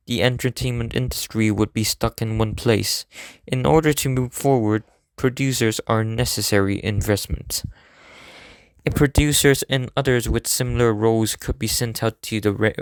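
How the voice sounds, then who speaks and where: A man playing an earnest, serious teenage male idol student in an anime game fan dub, explaining things formally at measured length. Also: medium pitch, clear, resonant tone, dry recording, close to an everyday voice.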